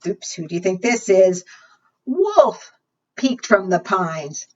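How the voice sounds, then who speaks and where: Children's librarian, female, expressive read-aloud with questions to little listeners; unhurried, recorded at a distance with dull sound. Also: mid-range voice. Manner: asks the young listeners questions.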